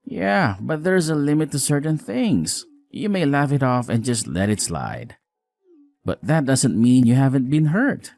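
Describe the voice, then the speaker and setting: Very animated, earnest husband acted by a man. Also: medium pitch, measured pace, gravelly, faint music behind. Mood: resigned. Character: apologetic, weary newlywed husband, caring and frank. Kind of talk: scripted dialogue, audio story drama.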